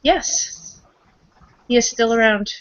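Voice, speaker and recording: Woman, fairly high pitch, casual hesitant roleplay chat, dull lo-fi sound. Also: slow, fairly bright tone, no echo. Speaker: roleplaying game player. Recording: dull lo-fi recording.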